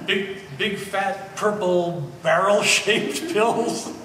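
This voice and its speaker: A man's voice: high-pitched, steady tone, extremely slow, pushed and bright, full character voice. A man playing an easygoing middle-aged former hippie with a hesitant, amiable delivery in a live stage play. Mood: puzzled searching. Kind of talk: live one-act stage acting. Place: art gallery stage reading. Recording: echoey hall, distant mic, faint noise.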